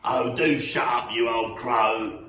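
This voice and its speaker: A man voicing a nasty, scheming, gruff husband from a storybook, with a taunting, emphatic delivery. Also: medium pitch, fairly even intonation, takes it slowly, a bit bright, recorded from a distance. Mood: irritated, insulting. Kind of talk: storybook character voice. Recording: echoey room.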